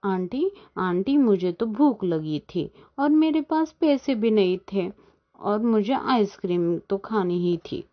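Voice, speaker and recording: A woman's voice: medium pitch, expressive, slow pace. A woman voicing a cheeky, wheedling little boy bird in a children's cartoon, childlike and pleading. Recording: dull lo-fi sound.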